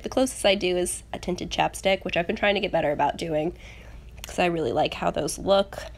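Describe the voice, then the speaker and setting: A young woman with a medium-pitched voice full of vocal fry and a slight rasp left over from a cold. She narrates casually in a chatty craft voice-over style, poking a little fun at herself. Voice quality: slightly raspy. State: getting over a rough cold. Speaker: planner channel host.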